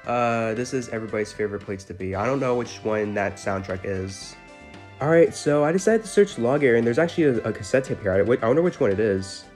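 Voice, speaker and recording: A man's voice: medium pitch, darkish tone. A man giving casual, slangy commentary over gameplay as a gaming video host. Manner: informal, slangy. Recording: music behind the voice.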